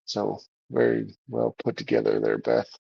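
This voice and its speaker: A man's voice: lowish voice, very animated, normal speed, creaky, husky, no room sound, lo-fi sound. A man hosting a music livestream in a casual, rambling way, with many fillers and restarts. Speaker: livestream show host.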